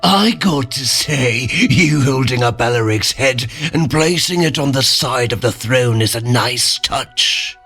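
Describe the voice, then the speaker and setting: An actor playing a scheming, obsequious servant of a dark king in a fantasy audio drama, with a courtly, measured manner. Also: medium pitch, lively intonation, slightly rough, full character voice. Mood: fawning intrigue. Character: fawning, scheming male henchman to a dark king. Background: dramatic music.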